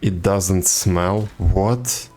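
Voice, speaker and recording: A man with a low voice talks casually to viewers while streaming a game. His delivery is halting, with repeated words, and faint music plays behind him. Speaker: video game livestreamer. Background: video game music.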